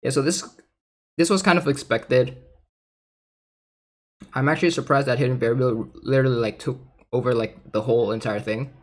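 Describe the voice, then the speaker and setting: A man's voice: medium pitch, normal speed, pauses often. A man casually reading aloud and commenting in an informal, chatty way, like a gaming content creator. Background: background music.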